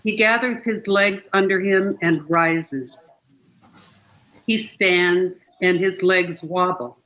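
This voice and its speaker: A reader delivers poems aloud at a very slow pace, in a mid-pitched voice. Each short line is set apart and given time. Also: pushed and bright, rough recording. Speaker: participant reading poetry aloud. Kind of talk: unhurried poetry reading.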